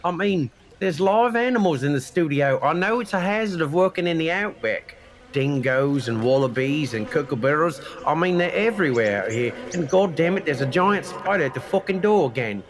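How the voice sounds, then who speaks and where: A man's voice: a little high, animated, moderate pace. A man hosting a comedy podcast, bantering in a brash, teasing, slangy way. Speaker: podcast host. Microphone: studio mic.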